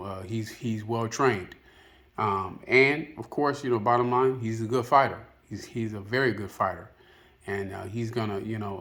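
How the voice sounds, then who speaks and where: A man's voice: on the low side, fairly bright tone. A man giving casual, unscripted opinion commentary as a video host, rambling and hesitant, often padding with filler phrases. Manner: hesitant, rambling, peppered with "you know".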